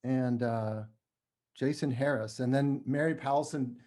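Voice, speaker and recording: A man speaking informally and modestly as a podcast guest, a playwright chatting about his work in a halting way. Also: medium pitch, fairly even intonation, mellow. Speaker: podcast guest, playwright and audio drama creator. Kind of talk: casual conversational interview.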